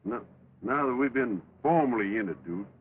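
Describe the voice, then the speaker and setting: An actor playing a gentle, teasing young cowhand with a folksy American drawl in an early talkie film. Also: male voice, mid-range voice, animated, moderate pace, husky. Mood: courteous. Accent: American, cowboy drawl. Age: young adult. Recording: crackly, tinny 1920s film soundtrack.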